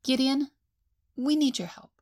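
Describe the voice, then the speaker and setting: An actress playing a calm, serious, sensible seer in an audio drama, measured and earnest. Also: female voice, high-pitched, dry recording, studio quality.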